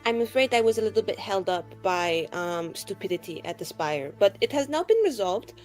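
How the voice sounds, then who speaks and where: A woman's voice: mid-range voice, lively intonation, a little fast, a little mellow, soft background music, poor audio. A woman playing a tabletop roleplaying game, bantering casually in character with dry sarcasm.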